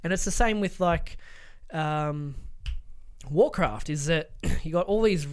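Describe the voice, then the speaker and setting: A man's voice: a little high, slow pace, smooth. A man co-hosting a podcast in casual, opinionated chat, emphatic and given to swearing. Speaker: podcast co-host.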